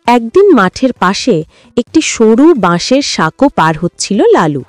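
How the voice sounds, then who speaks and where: A woman's voice: on the high side, big pitch swings, a little slow, no room sound, faint music behind. A woman narrating a scripted story for children as a storyteller.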